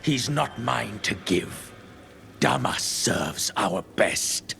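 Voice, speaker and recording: Gravelly, menacing cult mage. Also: male voice, medium pitch, expressive, drawn-out words, sharp tone, slight background noise, full character voice. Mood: cold. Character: menacing fanatical male cult mage villain. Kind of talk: video game acting.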